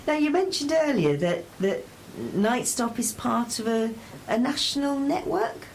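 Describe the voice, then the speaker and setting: A woman's voice: fairly high pitch, expressive, unhurried, darkish tone, a little hiss or hum, low-quality recording. A woman hosting a conversational radio interview in a chatty, encouraging way. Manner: encouraging, chatty, uses tag questions.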